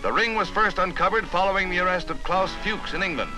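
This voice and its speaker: A man's voice: high voice, animated, fast, rough recording. An American man narrating a 1950s newsreel in a formal, emphatic, dramatic announcer's delivery. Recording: vintage newsreel soundtrack with music.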